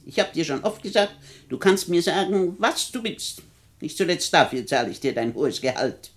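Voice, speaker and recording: Actress playing an imperious, sharp-tongued old widow. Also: female voice, lowish voice, lively intonation, normal speed, natural voice. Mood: teasing, imperious. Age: older. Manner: imperious, dryly mocking, articulate. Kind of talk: 1960 German radio play acting.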